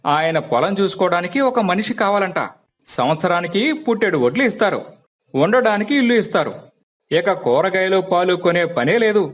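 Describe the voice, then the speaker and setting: నటుడు పోషించిన ధైర్యం చెప్పే పల్లె పెద్దమనిషి, హెచ్చు గొంతు. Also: male voice, high voice, lively intonation, moderate pace. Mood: advising. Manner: reassuring, rustic colloquial advice. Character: practical, helpful elder village family friend. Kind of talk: dramatized moral story.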